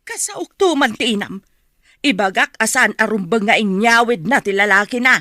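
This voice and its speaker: A woman's voice: a little high, expressive, normal speed, pushed and bright, dry recording, clean studio sound. An actress playing an indignant, scolding old grandmother in a radio drama.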